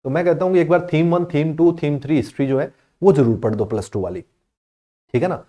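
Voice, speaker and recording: A man explaining history exam questions to students, reading the English text slowly and then explaining it in Hindi, with many check-ins for understanding. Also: on the high side, warm tone. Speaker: exam coaching teacher.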